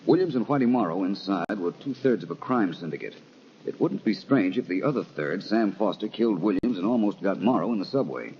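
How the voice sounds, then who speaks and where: An unruffled private investigator in a 1940s-style radio mystery. His voice is deep, and he questions people in a dry, measured way. The recording is dull with faint noise. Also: animated. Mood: calm, inquiring. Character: composed, probing male private detective. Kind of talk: 1940s radio crime drama acting.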